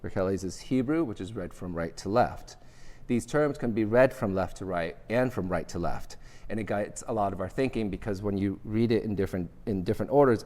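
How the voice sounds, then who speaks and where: A middle-aged man whose first language is Vietnamese, giving a measured, thoughtful architecture lecture with frequent restarts. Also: fairly low pitch, darkish tone. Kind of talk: academic lecture with slides. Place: lecture hall. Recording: long reverb of a lecture hall.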